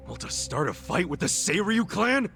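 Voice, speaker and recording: A man's voice: sits high, sing-song, moderate pace, gravelly, over music, heavily acted. A hot-headed, emphatic tough-guy hero. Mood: angry. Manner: blunt, incredulous, emphatic. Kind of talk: English video game dub acting.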